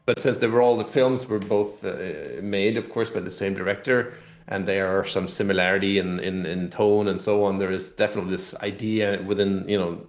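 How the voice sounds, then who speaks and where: An echoey recording of a man with a fairly low voice. He talks casually as a game designer being interviewed, haltingly, thinking aloud as he goes and doubling back on his words. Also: steady tone. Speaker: game designer and publisher guest. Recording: echoey room sound.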